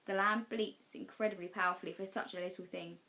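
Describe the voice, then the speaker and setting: A woman narrating a children's novel as a teacher would for class storytime, expressive and measured. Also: fairly low pitch, creaky.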